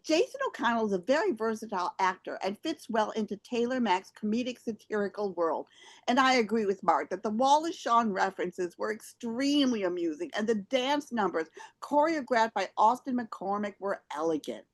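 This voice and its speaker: A woman's voice: fairly high pitch, expressive, moderate pace. A woman reading out theater reviews as a show host. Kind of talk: scripted review read aloud.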